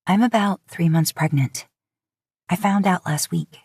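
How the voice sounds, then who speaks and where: A woman's voice: fairly low pitch, measured pace, darkish tone, slightly rough, no room sound. Woman acting a nervous young woman, pleading n earnest, audiobook, close dry sound. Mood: confessing. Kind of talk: fan fiction audiobook character.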